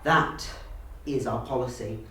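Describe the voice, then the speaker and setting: A man's voice: medium pitch, steady tone, a little slow, pushed and bright. A man speaking as an auditioning actor, recorded in a room. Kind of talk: audition speeches, pitch and casual interview. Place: echoey university room. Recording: echoey room, distant microphone.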